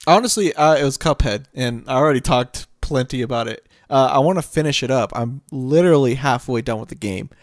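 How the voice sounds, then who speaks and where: A man co-hosting a podcast, chatting casually about games, agreeable and quick to say yeah, now and then swearing offhand. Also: medium pitch, dry recording, clean studio sound. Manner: agreeable, frequent yeahs, loose swearing. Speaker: podcast co-host. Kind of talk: casual gaming chat.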